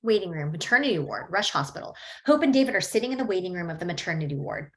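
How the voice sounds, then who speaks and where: A woman narrating a table read, reading scene headings and stage directions in a steady, plain way. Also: fairly low pitch, quick pace.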